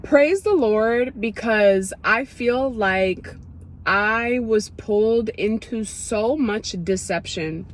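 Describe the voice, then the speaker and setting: Young woman, from Ethiopia, casual vlog talk, emotional, excitable, many fillers. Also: fairly high pitch, slow pace, slight background noise. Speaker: vlogger. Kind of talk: casual testimony to camera.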